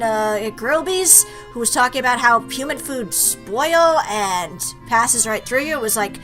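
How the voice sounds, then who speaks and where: A woman's voice: high voice, sharp tone, low-quality recording. A woman giving casual, chatty game commentary, playfully talking back to the game. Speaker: let's player. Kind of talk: casual game commentary mixed with reading dialogue aloud.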